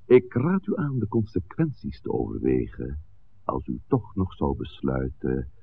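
An actor playing a shrewd old village priest in a 1960s radio play, with a gravelly voice and deliberate, eloquent, theatrical delivery. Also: male voice, extremely low pitch, wildly swooping pitch, heavily acted. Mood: sly, measured. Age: older. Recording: tinny, band-limited old radio recording, hiss.

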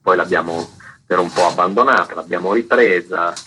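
A man being interviewed on radio as an author, explaining in a deliberate way, searching for words. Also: low-pitched, bright, forceful tone, slight background noise.

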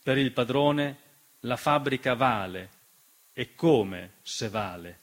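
A man delivering a scripted radio theatre monologue, measured and dramatic, with deliberate pauses. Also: mid-range voice, animated. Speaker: stage storyteller and narrator. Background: piano music.

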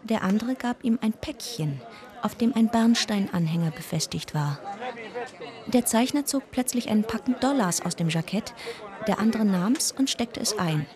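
An actress playing an observant, gently wry woman narrator, telling her travel story in a measured radio-drama style. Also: medium pitch, animated, a crowd in the background, lightly acted. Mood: watchful. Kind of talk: scripted first-person narration. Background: music.

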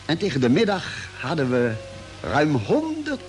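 A man acting as a space expedition member, narrating the journey in measured, deliberate old radio drama style. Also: fairly high pitch, wildly swooping pitch, music in the background. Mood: measured, grave.

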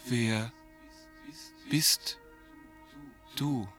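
An actor in a radio play voicing an otherworldly being who stammers out single words, groping for speech. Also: male voice, mid-range voice, steady tone, very slow, sharp tone, faint music behind. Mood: straining. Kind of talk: experimental radio play acting.

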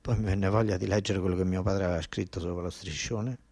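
A man with a southern Italian accent from Basilicata delivers a theatrical first-person monologue, colloquial and dialect-tinged, with repeated phrases and dramatic pauses. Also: deep voice, steady tone, warm tone, dry recording. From southern Italy. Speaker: stage storyteller and monologue actor.

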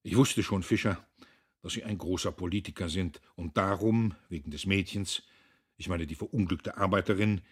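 A man voicing a blustering, pompous and anxious middle-aged factory owner, emphatic and then ingratiating, with a husky voice. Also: sits low, moderate pace. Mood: wheedling. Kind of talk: audiobook character voice.

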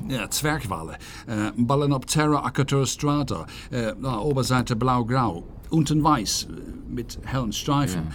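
An actor playing a brisk, emphatic naval commander in a German radio drama, speaking haltingly with slightly foreign word order. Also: male voice, medium pitch, expressive, a little hiss or hum, natural voice. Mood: matter-of-fact.

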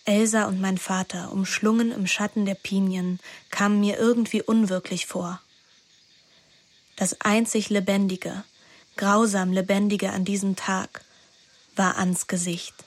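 Subdued young daughter narrating played by an actress, even pitch. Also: female voice, measured pace, natural voice. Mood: haunted, sorrowful. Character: melancholy teenage daughter narrating her summer's tragedy. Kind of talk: literary radio play narration.

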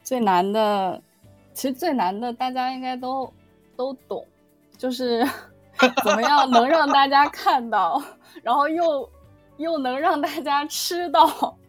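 Casting: female writer guest, fairly high voice, unhurried and hesitant, casual answers. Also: dry recording, soft background music. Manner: hesitant, repeats phrase openings. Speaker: audio drama scriptwriter guest.

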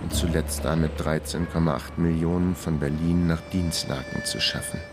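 A man's voice: rumbling low, level tone, normal speed, over loud music. An actor playing a world-weary investigator who narrates his own radio crime drama in a dry, ironic manner. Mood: wry, weary. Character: world-weary, wry male investigator hero. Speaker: narrator and lead character.

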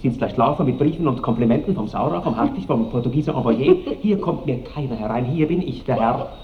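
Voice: mid-range voice, lively intonation, brisk, close to an everyday voice. An actor playing an ardent, sulky seventeen-year-old count in a radio play, impetuous and petulant in a theatrical way. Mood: defiant, sulky. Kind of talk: mid-century German radio play acting. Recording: muffled, hissy old radio recording, distant, roomy.

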